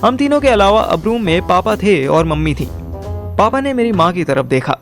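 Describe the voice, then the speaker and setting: A man playing a wry, quick-witted young man with an Indian accent, narrating and acting in a first-person audio drama. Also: sits high, soft background music. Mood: storytelling. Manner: animated, colloquial, mixes in English.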